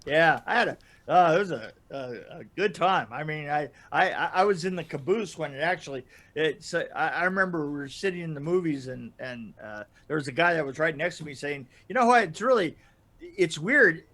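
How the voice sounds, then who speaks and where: A middle-aged man telling casual stories in an animated way, often chuckling and restarting his phrases. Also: fairly high pitch. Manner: animated, laughs through his stories, halting starts and repeats. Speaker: podcast storyteller and guest regular. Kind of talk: casual anecdotal storytelling. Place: indoor room, video call.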